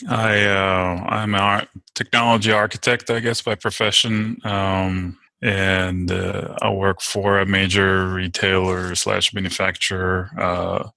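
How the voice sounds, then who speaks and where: A man's voice: low-pitched, flat delivery, unhurried, creaky, few pauses, bright, forceful tone, no echo. A middle-aged man from Turkey, answering interview questions in a casual, hesitant way with many fillers and restarts. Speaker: podcast guest.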